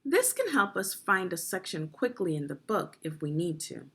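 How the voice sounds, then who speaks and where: A woman narrating an instructional children's read-along as a teacher, phrasing deliberately and guiding young listeners through the text. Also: lowish voice, very animated, a bit bright, breathy edge. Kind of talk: instructional read-aloud of a children's nonfiction book.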